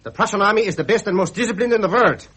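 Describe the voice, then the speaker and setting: A brash, high-voiced young Prussian prince. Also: male voice, very fast, a bit bright, slightly rough. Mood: arrogant. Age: young adult. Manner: boastful, goading, stiff. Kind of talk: period radio drama acting. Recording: muffled vintage radio recording.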